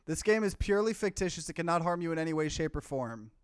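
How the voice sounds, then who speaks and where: A man's voice: fairly high pitch, animated, fast talker, clear, resonant tone, no room sound, studio quality. A man doing a casual let's play, reading game text aloud and dropping in offhand comments. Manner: mixes plain narration with offhand asides.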